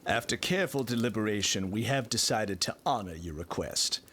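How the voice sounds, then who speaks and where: A man playing a stern, formal high councilman of a dream realm in an audio drama, speaking in a measured, official way. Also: expressive, noisy background, lightly acted. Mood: solemn decree.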